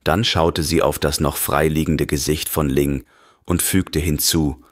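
A man narrating a scripted horror story in a grave, measured manner. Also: very deep voice, steady tone, no room sound, clean studio sound. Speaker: audiobook narrator.

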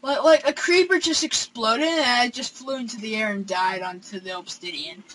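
A young man hosting a console game let's play from his room, excitable and gasping, with hesitant fillers. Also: very high-pitched, normal speed, slight background noise, poor audio. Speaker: gaming channel host. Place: home room with console screen.

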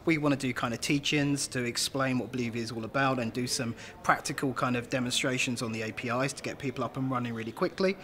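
A man's voice: medium pitch, steady tone, fast talker, hardly any pauses, fairly bright tone. A man in his thirties speaking as a company representative in an informal interview. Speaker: developer programme representative. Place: large open-plan office, indoors.

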